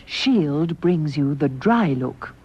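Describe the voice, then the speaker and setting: A woman's voice: low voice, expressive, slow. A woman reading a scripted radio advert as a voice-over announcer. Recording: dull old advert, loud music behind.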